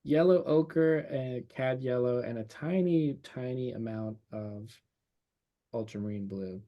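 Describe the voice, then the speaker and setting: A man's voice: medium pitch, unhurried, muffled recording. A man teaching a watercolor demonstration, thinking aloud as he paints, his voice a little worn from losing it. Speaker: painting instructor.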